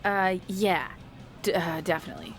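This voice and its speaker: An actress playing a friendly, slightly awkward interviewer in a video game cutscene. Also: female voice, on the low side, normal speed, soft background music, close to an everyday voice. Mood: sheepish.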